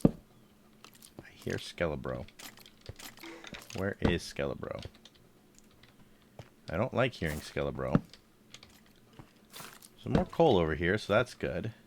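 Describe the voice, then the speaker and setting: A man with a low, slightly congested voice chatting casually while playing a game on stream and thinking aloud. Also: big pitch swings, takes it slowly, creaky, pauses often, very noisy. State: congested, throat tickle. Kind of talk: casual live gameplay commentary.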